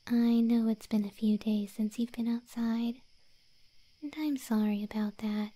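A woman voicing a possessive, obsessive moth girlfriend in an ASMR roleplay, sweetly menacing in slow, breathy, cooing whispers close to the microphone. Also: on the high side, fairly even intonation, warm tone, dry recording. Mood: coaxing, tender. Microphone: close microphone, dry.

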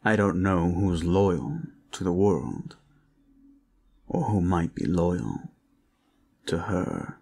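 A man performing an intimate audio roleplay as a protector, speaking in a reassuring, courteous, measured way. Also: deep voice, vocal fry, darkish tone. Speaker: roleplay voice actor, playing a gentle protector.

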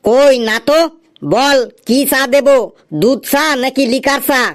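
Woman doing a high-pitched, sly tea-stall man. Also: steady tone. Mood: teasing, needling. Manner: mock-patient, drawn-out questioning. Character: sly, teasing male tea-stall owner, stubbornly needling. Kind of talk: exaggerated comic cartoon dialogue.

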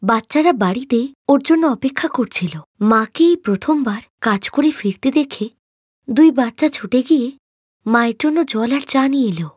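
High-voiced woman storyteller. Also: animated, normal speed, darkish tone, slightly rough, no echo, studio quality. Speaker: narrator. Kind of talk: scripted storytelling narration for animated moral tale.

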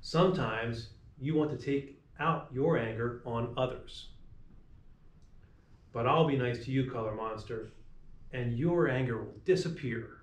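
Slow-reading man in an echoey room. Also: medium pitch. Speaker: preschool teacher reading to children. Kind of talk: picture-book read-aloud for young children. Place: small classroom, indoors. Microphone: camera a few meters away. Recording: echoey room, distant mic.

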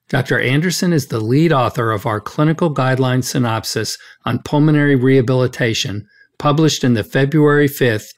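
A man hosting a medical podcast interview, speaking in a formal, measured, scripted way. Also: mid-range voice. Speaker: podcast host, medical journal editor.

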